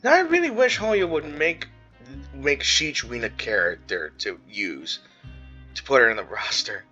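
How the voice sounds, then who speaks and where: A gamer with a bright, forceful voice commentates casually over a game. The pitch moves around a lot and the talk is slangy, on a slightly dull mic with faint music behind. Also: big pitch swings, unhurried. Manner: slangy, informal.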